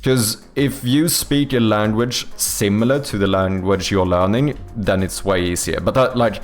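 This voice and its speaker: A man's voice: lowish voice, a little slow, faint music behind. Swedish man chatting on a livestream. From Sweden. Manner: thinks aloud, many fillers and restarts. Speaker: game developer streamer. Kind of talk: casual livestream chat answering viewers.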